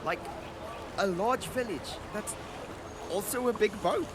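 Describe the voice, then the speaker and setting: A man's voice: high-pitched, a little slow, background noise. A man playing an earnest, wonder-struck boy traveler in a radio drama, speaking as if recording an audio diary. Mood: amazed, excited. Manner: earnest, descriptive, full of wonder.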